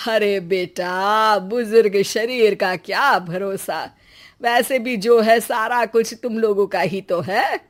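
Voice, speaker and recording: A woman's voice: mid-range voice, slow, close to an everyday voice. A woman voicing a kindly, teasing elderly mother-in-law who speaks in a plain, motherly way in a family story. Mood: caring. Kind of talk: acted Hindi family moral story.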